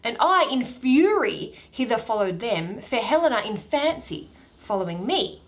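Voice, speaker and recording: A woman's voice: a little high, sing-song, a little slow. A woman reading Shakespeare, voicing an ardent, earnest young Athenian man in love, in theatrical verse.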